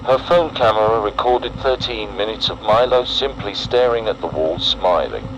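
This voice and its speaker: A man with a fairly deep voice delivers a scripted emergency warning in analog horror style. His delivery is solemn, measured in tone and ominous. The recording carries obvious background noise. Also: animated, muffled recording. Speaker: narrator.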